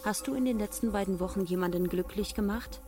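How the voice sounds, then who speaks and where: An actress playing the impersonal, formal questioner of a secretive movement in a radio play, with a detached, scripted manner. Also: female voice, medium pitch, fast talker, soft background music, lightly acted.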